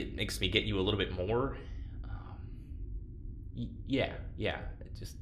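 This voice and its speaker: An actor as a gentle, awkward man in an audio drama. He stammers his way toward honesty in a slightly low voice. The sound is echoey with faint noise. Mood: gentle, halting. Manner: hesitant, stammering. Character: awkward, gentle, candid man, reluctant confidant.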